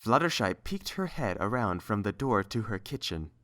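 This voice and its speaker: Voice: medium pitch, big pitch swings, moderate pace, a bit bright. A narrator reading scripted story prose.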